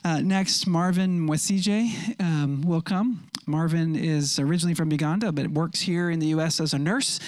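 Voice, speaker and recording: A man introducing baptism candidates at a church service in a relaxed manner, stumbling over names. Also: fairly high pitch, moderate pace, few pauses, no room sound.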